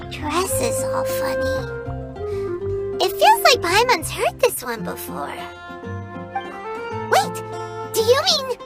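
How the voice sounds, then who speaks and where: A woman's voice: very high voice, exaggerated voice. A woman voicing a tiny cheeky fairy girl in a video game, with a chirpy, childish way of talking. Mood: puzzled, teasing. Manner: childish, chirpy, refers to self in third person. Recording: loud game music behind.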